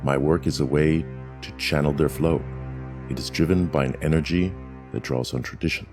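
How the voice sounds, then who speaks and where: A man in his fifties with a deep voice and clear pronunciation, narrating a film slowly and dramatically. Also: steady tone. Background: music.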